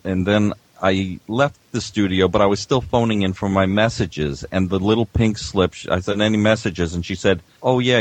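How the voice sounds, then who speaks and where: A middle-aged or older man, an audio engineer guest, casually telling stories in a wry, halting way. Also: low-pitched.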